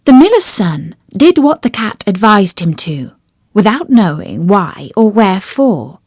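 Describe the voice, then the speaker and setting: A woman reading a children's fairy tale as a storyteller, with deliberate, expressive phrasing. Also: medium pitch, no echo, studio quality. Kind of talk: scripted children's storybook narration.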